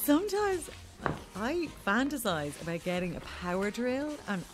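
A woman's voice: a little high, sing-song, unhurried, background noise. A blithely unhinged, macabre woman in an audio horror comedy. She is arch and flippant about morbid things, her intonation swinging widely. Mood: venomous glee. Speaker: actor in an audio drama.

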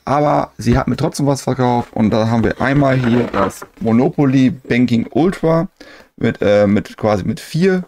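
A man's voice: mid-range voice, darkish tone, a little hiss or hum. A man narrating a retro game collector vlog in a casual, chatty way, with frequent hesitations and "äh" fillers.

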